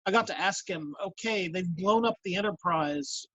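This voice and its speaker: A man's voice: sits high, normal speed, a bit bright, no room sound. A man chatting casually as a voice actor on a panel, telling stories. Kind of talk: casual chat, telling anecdotes. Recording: dull, lo-fi call audio.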